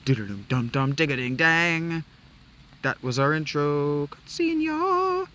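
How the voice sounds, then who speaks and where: A man's voice: fairly high pitch, sing-song, unhurried, pushed and bright, slight background noise. A man hosting a game playthrough, chatting in a goofy, irreverent way with some swearing. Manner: goofy, irreverent, swearing, mock-chanting music. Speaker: let's play host. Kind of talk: game playthrough with dramatic reading.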